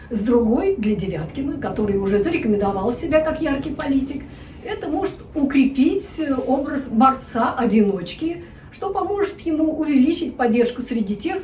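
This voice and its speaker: A woman's voice: fairly high pitch, a little mellow, a little hiss or hum. Wry woman reading news in echoey room. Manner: wry, ironic commentary. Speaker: news presenter. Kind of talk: news commentary vlog. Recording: echoey room, a bit distant.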